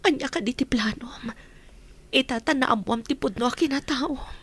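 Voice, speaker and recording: High, husky-voiced tender mother. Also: moderate pace. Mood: tender, aching. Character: tender, long-yearning mother reunited with her child. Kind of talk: radio drama acting.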